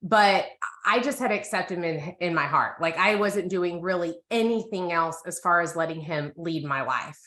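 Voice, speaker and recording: Female co-host, 30s, American accent, bright voice, candid conversational testimony, echoey room. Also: fairly low pitch, moderate pace. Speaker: co-host of a women's Bible reading group. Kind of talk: candid, casual personal testimony.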